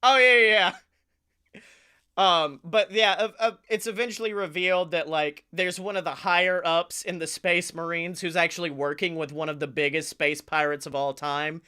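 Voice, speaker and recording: A male comedy podcast co-host with a high, forceful voice. He talks casually and swears freely as he pitches a story in a jokey, animated way. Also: normal speed, clean tone.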